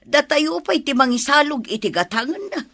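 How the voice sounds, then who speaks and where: An aggrieved, complaining villager in an Ilocano radio drama. The voice is sharp and very animated, with an Ilocano accent and a dry studio sound. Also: female voice, sits high, moderate pace, dry recording, exaggerated voice. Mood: indignant. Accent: Filipino, Ilocano. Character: aggrieved adult villager haggling over produce prices.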